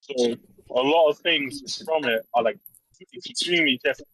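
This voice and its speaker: Halting young man on a husky mic. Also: medium pitch, fairly bright tone, dry recording, rough recording. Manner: halting, unsure, mumbling. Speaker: podcast co-host. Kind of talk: casual film discussion.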